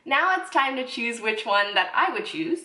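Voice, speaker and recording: A woman's voice: on the high side, big pitch swings, normal speed, sharp tone, a little hiss or hum. A young woman casually reviewing beauty products, chatty and self-correcting, with lots of "like"s. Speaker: beauty vlogger. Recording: echoey room.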